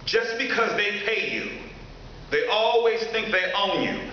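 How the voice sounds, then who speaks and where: A man's voice: sits high, a little slow, sharp tone, voice pushed up. A man voicing a tough, scornful young woman who speaks with defiant emphasis, in a stage story reading. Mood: bitter, defiant. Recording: echoey theater hall, muffled, faint noise.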